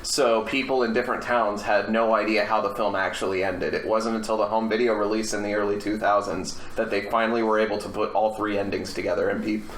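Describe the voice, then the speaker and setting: A man with a fairly low voice talks casually as a podcast co-host. He explains things with a wry, joking edge, in an echoey room with a little noise behind him. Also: steady tone, quick pace, few pauses. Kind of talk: casual group podcast chat. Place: indoor room with other people. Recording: roomy, faint background noise.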